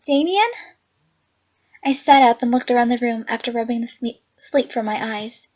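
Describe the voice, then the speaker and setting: A hoarse young woman reading a visual novel aloud, chatty with excitable asides. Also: a little high, brisk, lo-fi sound. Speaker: game reader and narrator.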